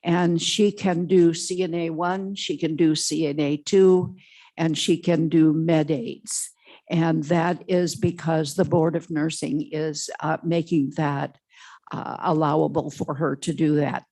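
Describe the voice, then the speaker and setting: A woman testifying before a legislative committee for a health care association. She has a loud voice and talks haltingly, with many fillers. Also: low voice, little pitch movement. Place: legislative committee hearing room.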